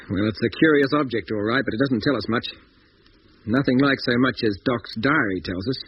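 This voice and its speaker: A man's voice: mid-range voice, brisk, lightly acted. Man acting a paranoid, hot-tempered 1950s radio spaceman. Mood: accusing. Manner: accusing, scornful, blustering. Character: paranoid, hot-tempered male spaceship designer turning on his crewmates. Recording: muffled, noisy 1950s radio recording.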